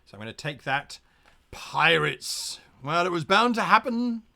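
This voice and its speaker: A man narrating a gamebook as game master on a live stream, with lively asides and pirate growls. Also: on the high side, unhurried, vocal fry.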